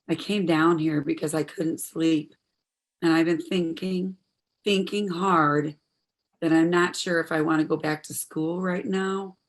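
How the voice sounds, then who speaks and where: A woman's voice: low voice, steady tone, measured pace. A woman playing an earnest, troubled daughter in her twenties in a stage drama, speaking in a heartfelt, pleading way. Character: earnest, troubled 26-year-old daughter, medical student. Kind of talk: stage play dialogue, audio performance.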